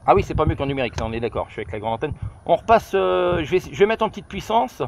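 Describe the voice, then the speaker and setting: A man's voice: on the high side, very animated, racing, vocal fry, darkish tone. A middle-aged man making informal radio calls during a field test, repeating himself and hesitating as he goes. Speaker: amateur radio operator testing a handset. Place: outdoors on a high viewpoint over countryside. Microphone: camera held just behind his shoulder. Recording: noisy outdoor phone camera, clicks.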